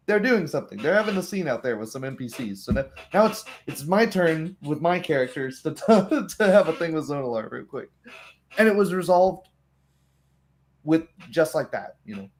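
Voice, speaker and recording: A man speaking casually as the game master and host of a roleplaying stream, chatting about the game. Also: fairly high pitch.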